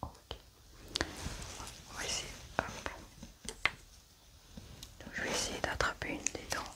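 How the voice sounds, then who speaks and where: A woman doing an ASMR medical roleplay, softly and hesitantly thinking aloud as she narrates each step of her work. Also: slow pace, far from the mic. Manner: soft, hesitant, thinking aloud while working. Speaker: ASMR performer playing a medical examiner.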